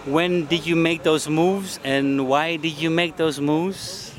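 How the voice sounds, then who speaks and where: Male host, slightly high voice, casual and halting, recorded outdoors amid city noise. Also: fairly even intonation. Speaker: interview host. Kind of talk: casual street interview. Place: outdoors on a bench in a busy city square. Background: traffic and city ambience.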